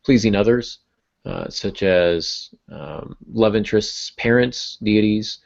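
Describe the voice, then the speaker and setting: A man with a distinctly low voice talks in a casual, explanatory way, as a host teaching writers would. The sound is somewhat muffled and lo-fi. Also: slow, vocal fry, darkish tone. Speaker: podcast host and writing teacher. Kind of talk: casual explanatory podcast talk. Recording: dull, muffled lo-fi audio.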